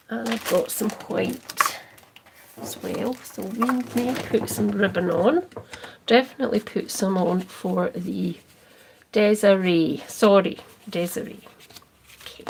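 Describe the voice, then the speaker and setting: A woman's voice: medium pitch, vocal fry, slight background noise. A Scottish woman hosting a casual crafting livestream, chatting with viewers and answering their comments as she works. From Scotland. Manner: slow, soft, drifting into near-whispers as she concentrates.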